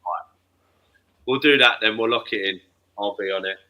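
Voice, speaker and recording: A man's voice: on the low side, normal speed, muffled recording. A man co-hosting a casual football podcast, easygoing and joking, with a chesty cough. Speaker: podcast co-host.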